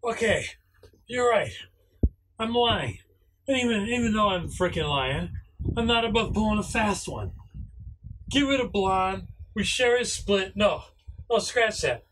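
A man's voice: sits high, very animated, unhurried, pauses often, fairly bright tone, full character voice, poor audio. A man playing a wounded, defiant, sarcastic robber in an amateur radio play. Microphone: VHF radio play recording. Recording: faint background noise over marine radio.

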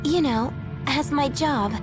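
A woman voicing an earnest, self-doubting teenage girl in a video game, expressive and plain-spoken. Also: sits high, takes it slowly. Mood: earnest, unsure. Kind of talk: English video game voice acting. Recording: game music behind the voice.

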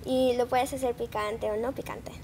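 A woman's voice: on the high side, fairly even intonation, moderate pace, darkish tone, slight background noise. A young woman giving brief, shy answers as an interview guest. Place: indoor TV studio set.

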